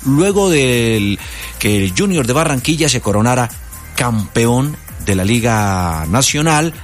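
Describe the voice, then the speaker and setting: A man with a Colombian Spanish accent anchoring a midday radio news show, lively and personable, with frequent fillers as he talks. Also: medium pitch, measured pace, faint music behind. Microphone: studio microphone.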